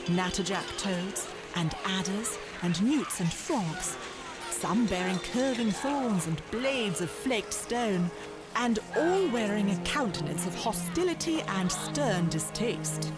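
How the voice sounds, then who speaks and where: Woman narrator, medium pitch, animated melodic range, literary storytelling, scripted, music behind. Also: slow pace. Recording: music underneath.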